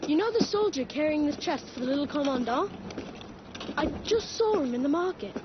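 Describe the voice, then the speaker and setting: A woman's voice: high voice, lively intonation, a little fast. A woman voicing a cheeky, stubborn little street boy in a video game. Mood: eager. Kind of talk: video game cutscene acting. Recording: muffled game audio, clear background noise.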